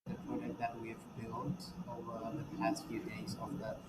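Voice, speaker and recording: A man narrating his hackathon team's product demo video with measured explanation. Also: mid-range voice, monotone, background noise. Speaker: hackathon team presenter in submission video.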